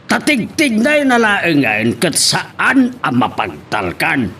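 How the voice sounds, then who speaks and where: Man acting an excitable, high-pitched scolding father. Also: slow, creaky, slight background noise, full character voice. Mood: scolding, exasperated. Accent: Ilocano. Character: scolding, exasperated Ilocano father nagging his son. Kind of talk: amateur Ilocano audio drama acting.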